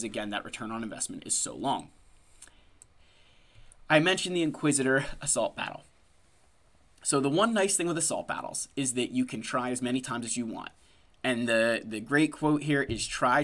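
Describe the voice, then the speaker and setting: A man's voice: medium pitch, a bit bright. A man in his thirties with a cold, sniffling, hosting a casual talk about a mobile game from his desk at home and often tagging his points with "right?". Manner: conversational, lots of "right?" and "like". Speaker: gaming channel host.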